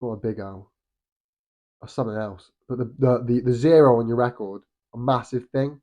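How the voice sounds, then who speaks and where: A man's voice: mid-range voice, fairly even intonation, moderate pace, mellow. British man with a nasal cold. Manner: rambling, frequent restarts and ums. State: has a cold, slightly nasal. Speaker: boxing vlogger. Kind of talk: casual opinion monologue.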